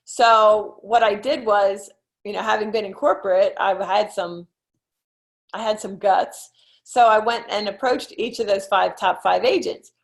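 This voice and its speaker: A woman's voice: mid-range voice, unhurried, creaky. A middle-aged woman with a Philadelphia accent, a real estate coach giving a chatty online presentation full of fillers and "okay?" check-ins. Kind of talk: webinar presentation.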